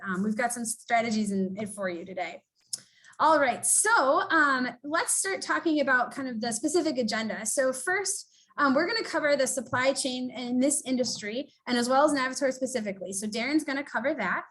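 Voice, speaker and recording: A woman with a high voice hosts an online business webinar. She talks in an upbeat, chatty way with the odd filler. She sounds like she is on a video call. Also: a bit bright. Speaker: webinar host. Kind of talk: webinar hosting.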